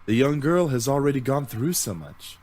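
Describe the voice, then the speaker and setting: Man playing a suave, animated flirtatious gentleman demon. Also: mid-range voice, moderate pace, natural voice. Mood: flattering. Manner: theatrical, flattering. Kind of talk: visual novel game acting.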